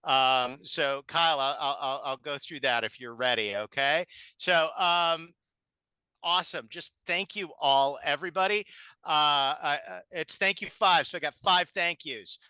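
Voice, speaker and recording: A man's voice: on the high side, measured pace, sharp tone, smooth, no echo. A man hosting a theatre panel show, speaking casually and effusively as he wraps things up. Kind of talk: casual, informal show sign-off.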